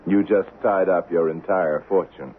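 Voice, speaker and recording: A thin, old radio recording carries the very low voice of a calculating western avenger. He speaks deliberately and with control, dryly taunting, with a faint hiss underneath. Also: fairly even intonation, phone-quality sound. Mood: taunting, assured. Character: wronged man seeking revenge, cool, calculating, in Old West. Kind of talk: 1970s radio drama acting.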